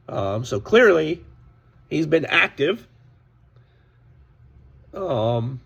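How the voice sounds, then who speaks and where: A hoarse man with a slightly high, gravelly voice speaks casually and candidly to his viewers. His tone is raspy and worn, complaining about a bad deal while warning his audience. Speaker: sports card seller. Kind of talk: casual direct-to-camera vlog.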